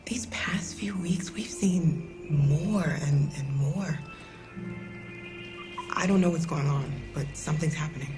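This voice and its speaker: Game role: kind, guarded American mother sheltering fugitives, lowish gentle voice, music underneath. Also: slow. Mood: worried. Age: middle-aged. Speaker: video game actor. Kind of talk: naturalistic cinematic game acting.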